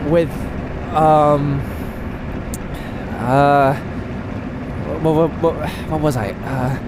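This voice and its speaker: A man voicing an excitable, scatterbrained young male cat with a florid, rambling, theatrical delivery in a comic dub. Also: a little high, drawn-out words, background noise. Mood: nervous, babbling. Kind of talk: animated comic dub acting.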